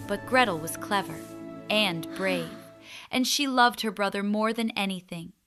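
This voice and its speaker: Slow, bright-voiced woman telling a fairy tale. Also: medium pitch. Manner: expressive, deliberate storytelling delivery. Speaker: storyteller. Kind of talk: scripted children's fairy tale narration.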